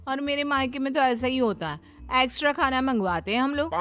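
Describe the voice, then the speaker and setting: Woman playing a high-voiced, boastful daughter-in-law. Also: a little fast, fairly bright tone, faint music behind. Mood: breezy, boastful. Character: boastful, breezy young daughter-in-law in a family. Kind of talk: Hindi moral cartoon story acting.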